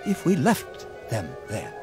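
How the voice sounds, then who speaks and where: An actor playing a feverish, compassionate Japanese army lieutenant in a radio drama, hesitant and pleading. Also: male voice, medium pitch, huge pitch swings, takes it slowly, music in the background.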